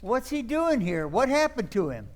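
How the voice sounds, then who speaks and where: Male actor as sardonic, excitable younger relative, high voice, stagy radio-play delivery. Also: moderate pace. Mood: flustered, incredulous. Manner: stammering when flustered. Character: sardonic drama critic nephew, excitable and incredulous. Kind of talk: old time radio acting.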